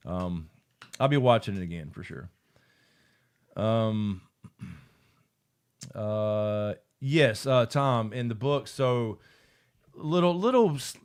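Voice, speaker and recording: A man hosting a casual livestream after-show, rambling, swearing and laughing as he reads out viewer comments. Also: fairly low pitch. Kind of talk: casual live chat, reading viewer comments.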